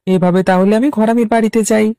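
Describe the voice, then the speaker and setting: An actor voicing a naive, dithering young village husband who is anxious to please in a cartoon. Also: male voice, high-pitched, fairly even intonation, fairly quick, vocal fry, warm tone, smooth, no echo, clean studio sound. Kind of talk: Bengali cartoon voice acting.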